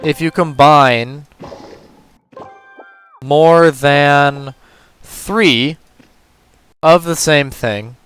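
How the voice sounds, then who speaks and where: A man with a hoarse, tired voice, casually talking through a puzzle game move by move as a gaming channel host. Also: on the high side, very slow, long pauses, no room sound, faint music behind. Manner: relaxed, talks through moves step by step. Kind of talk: casual gameplay commentary.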